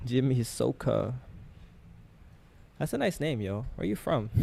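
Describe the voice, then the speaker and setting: A man's voice: medium pitch, very animated, slow pace, gravelly, mellow, husky. A young man, raised in Thailand, hosting a casual live trading stream and talking to his chat with lots of fillers. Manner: chatty, talks to chat with many fillers.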